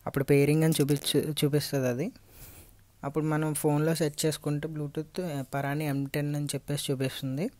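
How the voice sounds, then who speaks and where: A man's voice: a little high, level tone, a little fast, a little mellow, no room sound. A man with a Telugu accent giving an unscripted, step-by-step hands-on tutorial as a vlogger. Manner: explanatory, step-by-step. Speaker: vlogger reviewing and installing gear.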